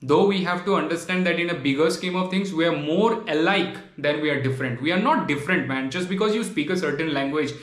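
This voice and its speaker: A man from Tripura, whose mother tongue is Bengali, pleads straight to the camera in an earnest, impassioned monologue, repeating his points and tossing in "man". His voice sits fairly high for a man. The room around him gives a noticeable echo. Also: quick pace, hardly any pauses, fairly bright tone. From Tripura, Northeast India. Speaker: vlogger.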